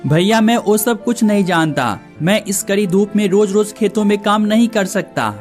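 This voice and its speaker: A man's voice: sits high, moderate pace, faint music behind. A man voicing the brothers and villagers of a cartoon story in plain conversational dialogue.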